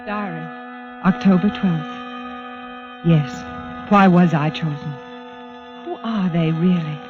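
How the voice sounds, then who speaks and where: A woman's voice: on the low side, takes it slowly. Anxious earnest young woman with secrets, 40s radio suspense, muffled old recording w music behind. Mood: brooding, resolute. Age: young adult. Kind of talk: 1940s radio acting.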